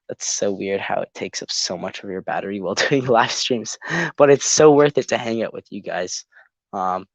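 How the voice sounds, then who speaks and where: A man's voice: fairly low pitch, vocal fry, no room sound. A teenage boy from Canada, a bit congested from being sick, chatting casually on a live stream and rambling with lots of ums. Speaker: YouTube channel host. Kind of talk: casual live-stream chat while building.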